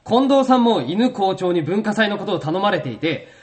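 A man in an amateur radio drama, explaining a situation in a measured way. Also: high-pitched, big pitch swings, far from the mic.

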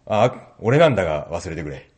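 A man's voice: sits low, very animated, a little fast, a little mellow, slightly rough, exaggerated voice. A man in an amateur radio drama session, talking apologetically between takes.

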